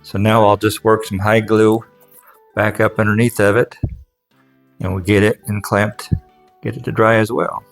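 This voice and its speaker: A man's voice: on the low side, level tone, moderate pace, creaky, pauses often, faint music behind. A man giving casual, plain-spoken how-to narration as a craftsman, explaining things step by step in a folksy way. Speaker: furniture restorer and instructor.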